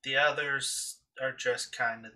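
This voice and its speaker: A man's voice: mid-range voice, fairly even intonation, a little slow, sharp tone. A man hosting a casual video game playthrough, commenting and explaining as he plays. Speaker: gaming channel host. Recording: distant mic with faint noise.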